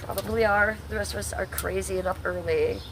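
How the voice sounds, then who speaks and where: A woman's voice: medium pitch, steady tone, fairly quick, noisy background. A middle-aged woman from Ohio, talking bluntly and sarcastically to her chat on a livestream, swearing freely. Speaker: livestream host.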